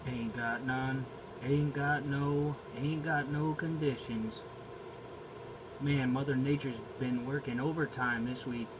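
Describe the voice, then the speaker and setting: American man on CB radio, clear background noise. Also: medium pitch, steady tone, slow pace. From New Jersey, United States. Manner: repeats catchphrases and call signs. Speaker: CB radio operator. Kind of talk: CB radio calls, slangy patter. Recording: noisy radio chatter and vehicle ambience.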